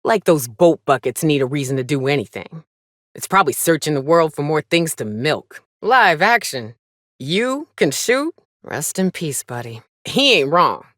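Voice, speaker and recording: A woman voicing a gruff, tough but caring frontier monster hunter in a video game, with wry, folksy, blunt delivery. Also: deep voice, very animated, moderate pace, a bit bright, no room sound, studio quality, full character voice. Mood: wry, sardonic. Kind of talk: video game character dialogue.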